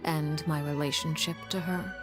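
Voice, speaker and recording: A woman's voice: deep voice, fairly even intonation, moderate pace, lightly acted. An actress playing a calculating, commanding woman in an anime game, formal, composed and condescending. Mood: cool, condescending. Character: commanding, coolly menacing adult woman, a calculating leader. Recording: faint music behind the voice.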